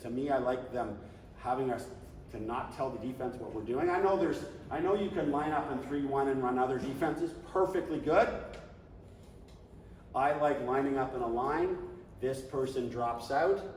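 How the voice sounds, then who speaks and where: A man leading a coaching clinic, talking in an animated, conversational way and now and then shouting coaching calls. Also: medium pitch, slight background noise. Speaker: flag football coach. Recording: echoey hall, distant mic.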